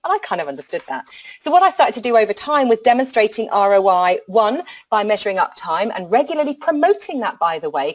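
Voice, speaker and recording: A woman hosting a webinar, talking in a frank, lively and jokey way. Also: medium pitch, poor audio. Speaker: webinar host. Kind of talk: webinar presentation.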